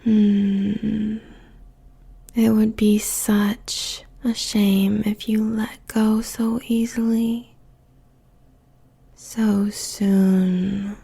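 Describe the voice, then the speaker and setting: Woman as a whispering, gravelly succubus demon queen. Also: medium pitch, flat delivery, mellow, exaggerated voice. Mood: taunting, tender. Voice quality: breathy whisper with gravelly fry. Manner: slow, deliberate, sultry, menacingly tender. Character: seductive, possessive female demon queen, a succubus. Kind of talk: whispered intimate audio roleplay monologue.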